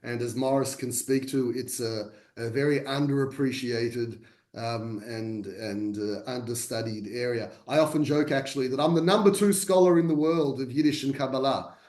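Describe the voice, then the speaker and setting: A man's voice: mid-range voice, moderate pace, darkish tone, roomy sound. A man, a translator speaking as a guest over a video call, self-deprecating with many restarts. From Australia. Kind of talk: conversational talk.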